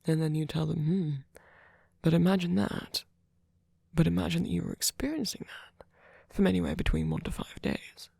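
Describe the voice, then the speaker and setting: A man playing a sweet, gentle vampire boyfriend in an ASMR comfort roleplay, speaking haltingly in a breathy, husky whisper. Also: a little high, huge pitch swings, no room sound, studio quality, exaggerated voice. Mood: gently musing.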